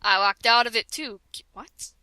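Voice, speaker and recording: A woman's voice: fairly high pitch, normal speed, pushed and bright, clean tone, no room sound. A young woman doing a comedic dramatic reading of parody fan fiction, stumbling over words and laughing as she goes.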